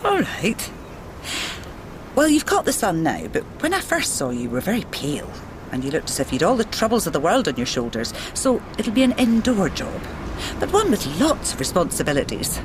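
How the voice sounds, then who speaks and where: A lowish, extremely expressive Scottish woman as an island widow. She is friendly, curious and gently teasing, with ambient noise clearly behind her. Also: normal speed. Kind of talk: radio drama acting.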